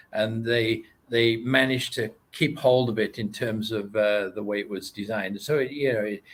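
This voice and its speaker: A man with a Welsh accent, guesting on an interview show as an animation producer. He speaks at a middling pitch and hesitates often, filling pauses with uh and um. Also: fairly bright tone. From Wales. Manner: hesitant, lots of uh and um.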